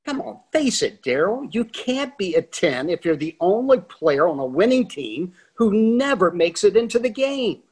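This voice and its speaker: A man's voice: sits high, very animated, normal speed, hardly any pauses, a little mellow, slightly rough, exaggerated voice. A man playing a wisecracking older friend. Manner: teasing, wisecracking.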